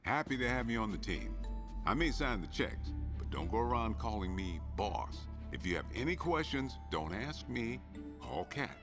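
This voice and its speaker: A man playing an easygoing, dry, deadpan boss of a ghost-hunting company in a video game. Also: mid-range voice, normal speed, over music. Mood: friendly, dry.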